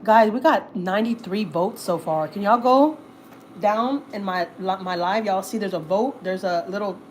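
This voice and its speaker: A woman's voice: medium pitch, normal speed, a little hiss or hum. Chatty woman. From Houston, Texas. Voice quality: chatty, rambling, full of fillers and frank slang with casual swearing. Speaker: livestream host. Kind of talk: casual livestream chat, reading viewer comments. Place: at home.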